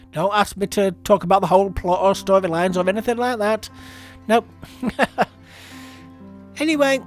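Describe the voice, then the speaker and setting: A man with a high-pitched voice chats casually as a podcast host. He rambles and runs himself down, with soft music faintly underneath. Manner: rambling, self-deprecating. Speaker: podcast host and audio drama creator. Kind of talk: casual chat.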